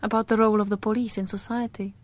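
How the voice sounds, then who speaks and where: An actress playing a detective's calm, teasing wife in a radio drama, unhurried and thoughtful. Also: female voice, mid-range voice, natural voice. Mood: reflective, wry.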